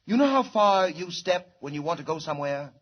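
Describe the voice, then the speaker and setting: Anxious alien scientist dad, old radio drama style, high husky voice, earnest and kinda theatrical. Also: male voice, expressive, a little fast, muffled recording. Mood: earnest, intent. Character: anxious, devoted alien scientist and young father.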